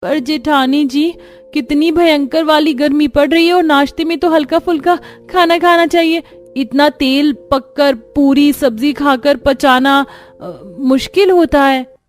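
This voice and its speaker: A woman's voice: high-pitched, fairly even intonation, a little slow, faint music behind, voice pushed up. A woman voicing an exasperated young daughter-in-law in a cartoon, complaining dramatically.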